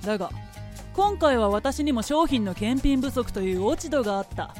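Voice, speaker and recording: A cool, stern merchant, played by a woman speaks formally and with imperious composure in a radio drama. The voice sits fairly high, over music. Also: animated, normal speed, darkish tone, natural voice. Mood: stern judging. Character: cool, stern, authoritative merchant acting as judge.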